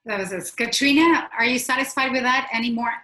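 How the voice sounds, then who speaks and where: Moderator: woman, bright higher voice, roomy echo, brisk question reader. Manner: brisk, reads out audience questions. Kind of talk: moderating a panel.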